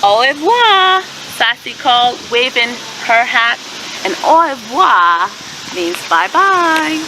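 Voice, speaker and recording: A woman's voice: fairly high pitch, animated, background noise. A woman reading a children's story aloud expressively, in a teacherly way that invites listeners to respond. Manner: teacherly, asks listeners questions and pauses to affirm answers. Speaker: storyteller and host of a children's read-aloud channel.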